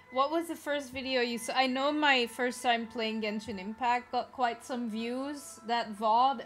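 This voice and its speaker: A woman from Belgium with a Flemish accent, whose first language is Dutch, chatting casually with her live-stream viewers and greeting them as she plays. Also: fairly high pitch.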